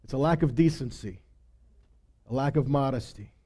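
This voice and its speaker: A man's voice: mid-range voice, moderate pace, warm tone. A man teaching a Bible study, reading scripture and explaining it conversationally, with emphasis on key phrases and frequent check-ins with listeners.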